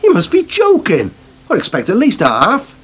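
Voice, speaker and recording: Radio soap countryman: dry, sceptical, fairly high voice with lively inflection. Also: moderate pace, slightly rough, full character voice. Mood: sceptical, bargaining. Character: dry, wary working countryman studying at agricultural college. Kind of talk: British radio soap acting.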